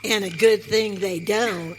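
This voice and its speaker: A woman's voice: mid-range voice, fairly even intonation, lightly acted. A woman playing a feisty pioneer wife in a western radio play, with an American accent and folksy, emphatic frontier speech. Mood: scornful. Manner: folksy frontier dialect, emphatic, drawn-out delivery. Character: Maggie, feisty pioneer wife on a wagon train. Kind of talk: live western radio theater acting. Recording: hall reverb, faint noise.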